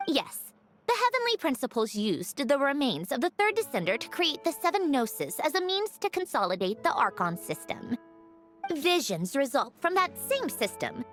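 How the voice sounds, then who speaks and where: A woman voicing a haughty, matter-of-fact young puppet genius in a video game, with a precise, lecturing, slightly impatient delivery. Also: fairly high pitch. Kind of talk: scripted video game voice acting.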